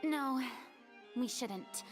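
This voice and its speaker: An actress playing a young female ruler in a video game, theatrical and insistent, easily flustered and expressive. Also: sits high, very slow, over music. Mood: hesitant persuasion. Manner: expressive, pleading, self-important. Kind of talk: scripted video game acting.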